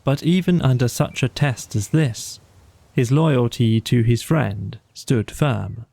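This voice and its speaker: A man reads a scripted bedtime story at an unhurried, measured pace. His soft voice is mellow with noticeable creak. It is recorded very dry. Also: medium pitch, dry recording. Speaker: audiobook narrator.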